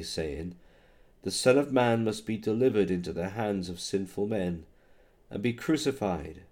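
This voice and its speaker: A male pastor recovering from a cold, his voice a bit hoarse, opening with prayer and reading scripture in a halting, filler-laden way. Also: fairly low pitch, dark tone. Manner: halting, many fillers, reads scripture slowly.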